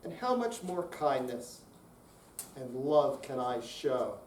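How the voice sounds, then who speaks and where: A man's voice: medium pitch, big pitch swings, slow pace. An older man giving a dramatic reading of verse in a live readers theater performance. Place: senior center room, mic at a distance. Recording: echoey room, distant, faint noise.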